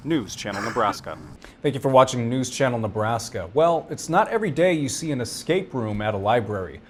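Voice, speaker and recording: A man's voice: mid-range voice, a little hiss or hum. A man delivering scripted news narration as an anchor and reporter.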